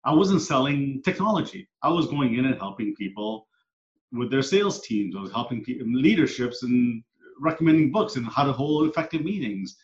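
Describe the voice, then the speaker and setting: A man's voice: mid-range voice, animated, normal speed. A middle-aged man, a coach and speaker, telling his story as a podcast guest in an earnest, reflective way. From Canada, moved there at ten. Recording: echoey room, dull lo-fi sound.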